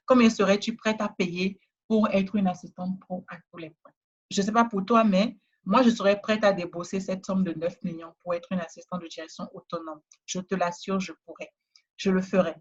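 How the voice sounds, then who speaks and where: A woman trainer giving a persuasive, repetitive sales pitch in a webinar, speaking straight to the listener, with a West African French accent. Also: fairly even intonation, normal speed, slightly rough, dull audio. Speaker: trainer selling a course.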